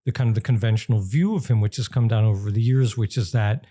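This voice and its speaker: Very dark-voiced, deliberate older American man. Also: lowish voice. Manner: deliberate, emphatic, pausing mid-phrase. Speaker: interview guest, journalism professor and author. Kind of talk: explanatory interview talk.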